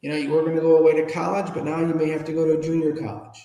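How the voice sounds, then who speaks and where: A man's voice: a little high, little pitch movement, racing, dark tone. Echoey American man in his fifties or older. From Chicago, United States. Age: 50s or older. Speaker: podcast guest, ethics trainer and speaker. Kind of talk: candid personal storytelling in an interview. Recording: roomy, echoing reverberant room.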